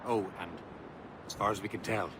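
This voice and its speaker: An actor playing a gruff, commanding male assassin, curt and dryly teasing, in a video game cutscene. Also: on the low side, fairly even intonation, moderate pace, close to an everyday voice. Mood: curt, wry. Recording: loud gunfire and battle noise behind.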